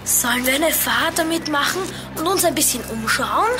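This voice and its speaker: Woman as very high-voiced plucky young boy. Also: moderate pace, close to an everyday voice. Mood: curious, easygoing. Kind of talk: children's audio drama acting. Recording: loud music behind the voice.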